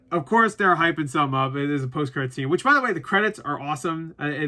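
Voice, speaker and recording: Bright-voiced thirty-year-old man, rambling reviewer. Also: fairly high pitch. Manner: rambling, conversational, swears casually. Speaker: movie reviewer, channel host. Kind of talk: casual unscripted movie review.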